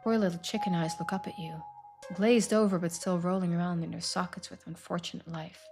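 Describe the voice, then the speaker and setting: A woman's voice: fairly low pitch, steady tone, a little fast, music in the background. A woman game streamer reading out a story game and chatting with her viewers during a live playthrough. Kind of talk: live playthrough narration and chat.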